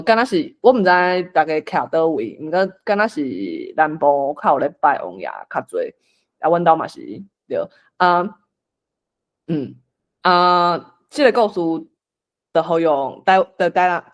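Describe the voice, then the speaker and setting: A host with a Taiwanese accent, moderating a casual discussion and inviting others to share. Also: mid-range voice.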